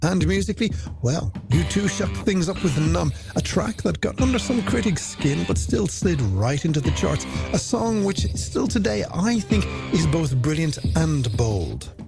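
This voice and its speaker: A man's voice: a little high, big pitch swings, unhurried, gravelly, few pauses, rough recording. An Irish man presenting a late-night music radio show in a polished, theatrical way with flowery phrasing and rhetorical questions, over a music bed.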